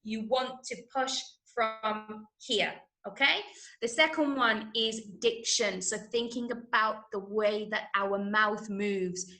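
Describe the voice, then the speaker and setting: A woman with an English accent teaching an online drama workshop, enunciating clearly and coaching with encouragement. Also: mid-range voice, slow, sharp tone. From Manchester, England. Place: indoor room, online video call. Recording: roomy, echoing video-call sound.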